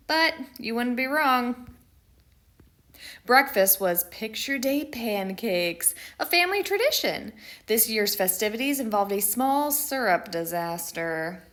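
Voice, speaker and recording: A woman voicing a mischievous, dramatic schoolboy who tells his own picture book story with theatrical emphasis and pauses. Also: medium pitch, a bit bright. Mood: wry, dramatic.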